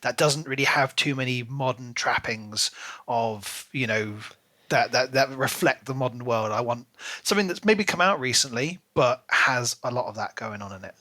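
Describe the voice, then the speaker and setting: A man with a bright, crisp-edged voice of average pitch talks casually as a podcast co-host. The sound is dry and close, with very little room on it. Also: moderate pace, dry recording. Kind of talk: casual book-club podcast chat.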